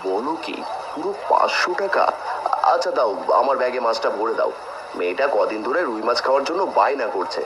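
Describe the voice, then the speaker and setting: Man acting an expressive village farmer, noisy recording. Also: medium pitch, fairly quick, natural voice. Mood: eager. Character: village farmer, family man in a folk tale. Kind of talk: Bengali cartoon dialogue. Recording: clear noise behind the voice.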